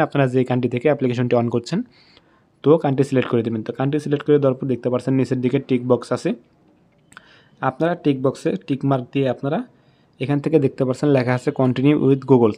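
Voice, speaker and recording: A man's voice: mid-range voice, a little mellow. A man with a Bangladeshi accent narrating a step-by-step app tutorial as host, in repetitive instructional phrasing.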